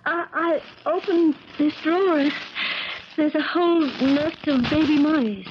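A woman's voice: high-pitched, slow pace. An actress in a 1970s radio drama playing an uneasy, genteel young woman visiting her uncle. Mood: startled, protesting. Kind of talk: 1970s radio drama acting, first-person narration and dialogue. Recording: dull crackly 1970s radio recording, music behind.

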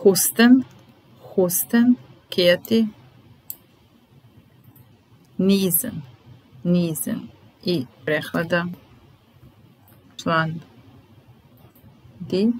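A woman, teaching vocabulary in a deliberate, repetitive, instructional way. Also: on the low side, fairly even intonation, darkish tone, poor audio. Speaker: language teacher. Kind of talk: vocabulary lesson, word-by-word dictation with repeated phrases. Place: small room indoors. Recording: background noise with keyboard typing.

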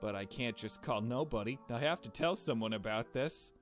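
A man's voice: medium pitch, normal speed, faint music behind, close to an everyday voice. A man voicing a nervous, shaken young woman who narrates a visual novel mystery in a hesitant, uneasy way. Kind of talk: visual novel story read aloud.

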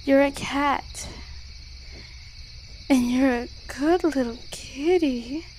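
A woman's voice: high-pitched, slow, darkish tone, a little hiss or hum. A woman voicing a playful, doting goddess sorceress in an ASMR roleplay, cooing and teasing affectionately.

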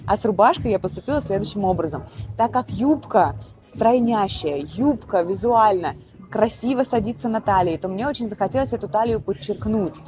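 A woman's voice: mid-range voice, expressive, normal speed, noisy background. A woman stylist explaining an outfit and giving practical fashion advice to viewers. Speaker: stylist presenting her outfit.

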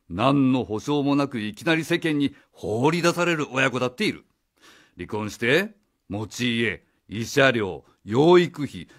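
A man acts out a cynical, needling guy in a radio drama. His voice is fairly high for a man and swings widely in pitch. He is blunt, sarcastic and argumentative.

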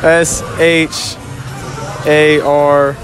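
A young man with a slightly high voice spells a name out letter by letter, slow and a little confused. He is outside at a fun park at night. Music and crowd noise are clear behind him. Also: flat delivery. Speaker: passer-by. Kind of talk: casual street interview. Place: outdoor amusement park at night.

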